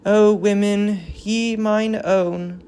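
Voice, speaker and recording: A woman's voice: mid-range voice, fairly even intonation, very slow, mellow, slight background noise. A woman playing a grieving, dignified old queen in a Greek tragedy reading, with solemn, declamatory verse delivery. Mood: tender lament. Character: grieving old queen mourning her dead grandchild, bitter, dignified.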